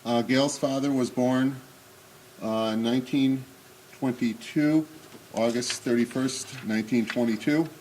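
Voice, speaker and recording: An older man in his sixties speaks at a middling pitch, presenting slides and reading excerpts aloud at a synagogue event. His delivery is measured and halting, full of small pauses and fillers. A faint background hum sits behind his voice. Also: steady tone, a little mellow, poor audio.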